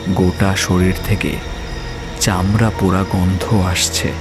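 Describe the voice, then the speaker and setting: A man's voice: deep voice, little pitch movement, takes it slowly, over music. A man narrating a scripted horror story in a dramatic storytelling style.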